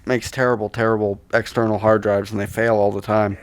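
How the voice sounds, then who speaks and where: A man's voice: lowish voice, level tone, brisk. A man co-hosting a casual comedy podcast, rambling and sweary, speaking close to the microphone. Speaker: podcast co-host.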